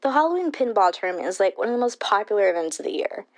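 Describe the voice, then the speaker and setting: A woman's voice: mid-range voice, very animated, rapid-fire. An actress playing a sincere, chatty teenage girl, an arcade regular, talking in slangy interview style. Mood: sincere, wistful. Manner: slangy, peppered with "like" and "you know". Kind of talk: interview in an audio drama.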